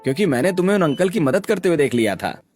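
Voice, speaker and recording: A man's voice: a little high, very animated, fast, a little mellow, faint music behind. A man playing a caring, earnest young tea seller in a cartoon story. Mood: concerned.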